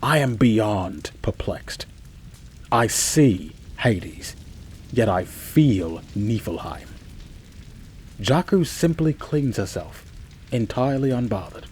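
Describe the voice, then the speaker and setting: A low-voiced man played by an actor narrates his own eerie tale for a radio play. He is chatty and bewildered, with theatrical pauses and conversational asides. Faint noise runs behind the voice. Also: big pitch swings, a little mellow. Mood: fearful, dramatic. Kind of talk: first-person scripted story narration, radio play.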